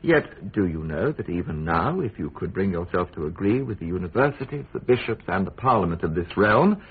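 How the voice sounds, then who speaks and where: An actor playing a silky Tudor royal secretary in a radio drama, British, falsely cordial, probing and precise. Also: male voice, low-pitched, animated, fairly quick, husky, exaggerated voice. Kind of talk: period radio drama acting. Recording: muffled phone-like radio drama.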